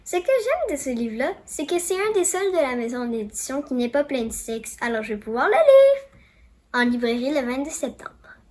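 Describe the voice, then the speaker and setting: A woman's voice: high voice, very animated, normal speed, a little mellow, a little hiss or hum. A woman with a Quebec French accent presenting upcoming books, with light joking asides. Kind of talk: casual presentation.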